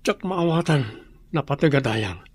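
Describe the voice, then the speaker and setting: A man's voice: fairly high pitch, expressive, moderate pace, breathy edge. A man playing a meek, worried former captive in a radio drama, hesitant and respectful, with an Ilocano accent. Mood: deferential, uneasy. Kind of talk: Filipino radio drama acting.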